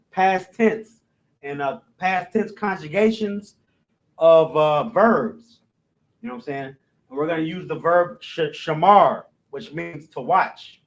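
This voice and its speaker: Male instructor, slightly higher voice, halting informal classroom delivery. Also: rough recording. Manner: loose and repetitive, stumbles and restarts, keeps asking "you know what I'm saying"; explains slowly, step by step. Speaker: religious language instructor.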